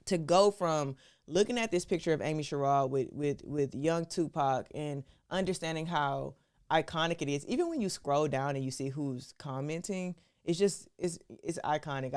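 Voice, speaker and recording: A woman's voice: low voice, a little mellow. A woman hosting a live stream, talking to her viewers in a casual, chatty way and calling them y'all. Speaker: show host.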